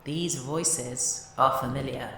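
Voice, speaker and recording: A woman's voice: very deep voice, takes it slowly, vocal fry, fairly bright tone, heavy reverb, recorded from a distance, slight background noise, exaggerated voice, low-quality recording. A woman playing an imperious, manipulative witch villain in an audio horror drama, theatrical and commanding. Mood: smug, coaxing.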